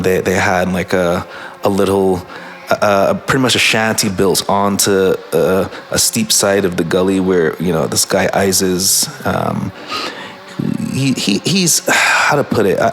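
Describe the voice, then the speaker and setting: A man from Barbados, a guest musician, talking in a relaxed, reflective way, halting with many restarts and fillers. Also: on the low side, slight background noise. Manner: halting, full of restarts and "you know, like".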